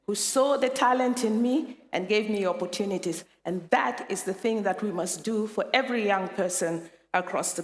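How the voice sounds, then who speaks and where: A woman with a Kenyan accent gives an emphatic, rallying public speech as a forum speaker. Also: medium pitch. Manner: emphatic, rallying, rhetorical questions. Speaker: forum speaker, youth partnership representative. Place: large event hall, over a PA. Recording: echoey hall with long reverb.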